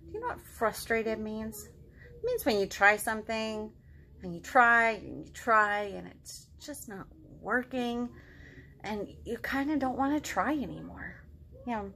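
High, animated woman leading storytime. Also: unhurried, gravelly, a little hiss or hum. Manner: asks questions, speaks simply to children. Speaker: children's librarian storyteller. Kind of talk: interactive picture-book storytime for children. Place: living room at home.

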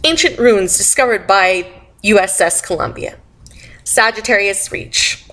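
A woman's voice: medium pitch, lively intonation, unhurried, a bit bright, poor audio. A woman presenting a podcast news segment in a scripted narration style.

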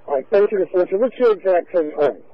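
A man's voice: sits high, animated, fast talker, no echo. A man speaking in terse police traffic over a two-way radio. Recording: tinny, narrow-band police scanner radio.

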